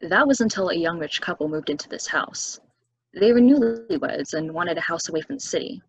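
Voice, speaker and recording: Woman acting calm, husky, low-voiced loner woman. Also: brisk, no echo, poor audio. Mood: storytelling. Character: Cheryl, calm, solitary young local woman who knows the house's legend. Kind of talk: stage play table reading.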